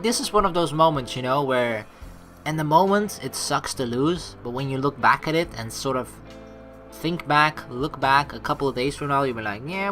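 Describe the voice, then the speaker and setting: A woman playing through a game, reading the story aloud and reacting excitably with casual swearing. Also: sits low. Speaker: let's play streamer reading a visual novel aloud.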